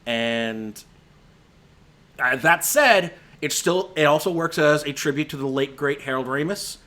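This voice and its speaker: A man's voice: a little high, a little slow, sharp tone. A man with a voice that is giving out, running through his favorite movies of the year in a casual, rambling stream, full of restarts and fillers. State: voice giving out, slightly hoarse. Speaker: movie critic.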